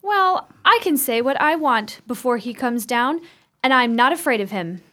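A woman's voice: fairly high pitch, lively intonation, moderate pace, sharp tone. A woman acting in a radio play as an outspoken, suspicious sister, speaking in an indignant, insistent manner. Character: Emma, outspoken, suspicious woman grieving her brother.

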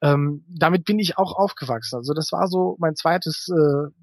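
A middle-aged man, explaining things in a conversational interview with frequent hesitant pauses. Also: on the high side, darkish tone, no room sound, clean studio sound. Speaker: interview guest, writer.

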